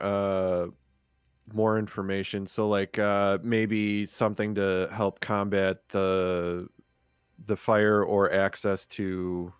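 A man playing a tabletop game, chatting casually in a halting, tentative way. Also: deep voice, smooth, dry recording.

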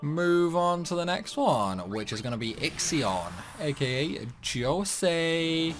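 A man talks through a video game playthrough in a casual, rambling way. His pace is slow and unhurried, and he drifts into grumbling asides. Game music plays clearly behind his voice. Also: a little high, animated. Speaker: gaming video host.

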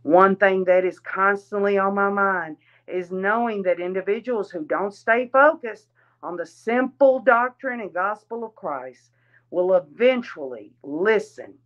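A woman reading a religious address aloud in a deliberate, solemn manner. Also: lowish voice, lo-fi sound. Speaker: reader.

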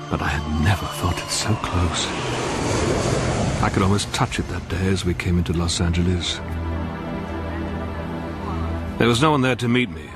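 Low-voiced, uneasy priest played by a man. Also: natural voice. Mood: brooding dread. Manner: hesitant, apologetic, halting. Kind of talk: radio drama acting with first-person narration. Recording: clear background ambient noise.

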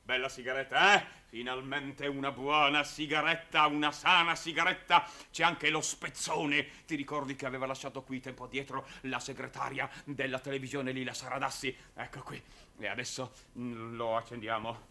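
An actor playing a fretful, blustering middle-aged circus uncle in a 1960s TV drama, dithering and repeating himself theatrically. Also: male voice, mid-range voice, flat delivery, sharp tone. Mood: pleased, musing. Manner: dithering, repetitive, halting, theatrical. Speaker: actor in a television series. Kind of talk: 1960s Italian TV drama acting. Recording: vintage 1960s television soundtrack.

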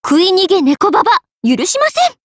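High-pitched, playful merchant sorceress. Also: female voice, sing-song, fairly quick, no room sound, studio quality. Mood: spirited. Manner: teasing, polite salesgirl lilt. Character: playful, money-loving half-spirit merchant sorceress, young woman. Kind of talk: Japanese anime game character voice acting.

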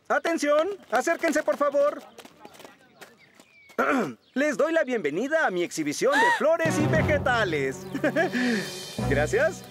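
A man's voice: very high voice, very animated, takes it slowly, long pauses, over music, exaggerated voice. A man voicing a proud, fussy father making a pompous speech in a cartoon, with a Latin American Spanish accent. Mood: self-important. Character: proud, fussy middle-aged father hosting a village show. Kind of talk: animated cartoon dub.

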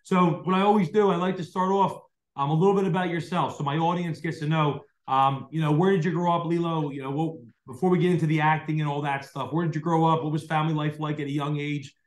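A man's voice: fairly high pitch, fairly even intonation, quick pace, hardly any pauses, roomy sound. A young man hosting a podcast interview over a video call, talking with lots of fillers and restarts. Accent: South Jersey. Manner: rambling, many fillers and restarts. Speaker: podcast host. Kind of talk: casual interview. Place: dim home room at night. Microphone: large desk mic on video call.

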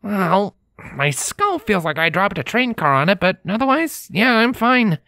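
A woman voicing a friendly, excitable young male pony tinkerer in a storybook reading, with casual, earnest speech. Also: medium pitch, unhurried, no room sound, voice pushed up. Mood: sheepish, curious. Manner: casual, earnest, hesitant with filler words.

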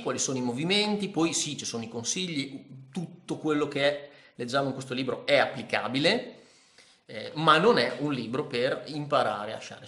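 A man's voice: mid-range voice, sharp tone. A man in his thirties giving a casual book review to camera in his living room, hesitant with many fillers and restarts. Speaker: ski vlogger reviewing a book. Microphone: camera in front of face. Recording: echoey room.

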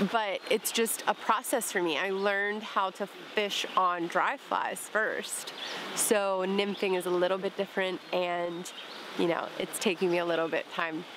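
A young woman vlogging outdoors by a forest stream, chatty and playful, filming herself with a handheld camera at arm's length. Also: mid-range voice. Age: young adult. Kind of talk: casual vlog narration. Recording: stream noise in the background. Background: flowing stream and outdoor ambience.

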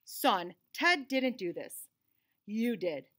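A woman plays an exasperated storybook dad. He scolds emphatically and sternly, his pitch jumping as he lays down the law. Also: big pitch swings, slow, pushed and bright, full character voice. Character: stern exasperated father of a young boy.

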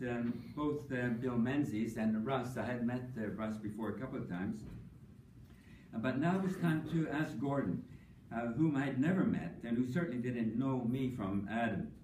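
An older man giving a prepared tribute speech at an academic conference, measured and dry-humored, with frequent ums. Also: mid-range voice, darkish tone, a little hiss or hum. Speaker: panelist speaking at an academic tribute session. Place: conference hall. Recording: echoey hall, voice from a distance.

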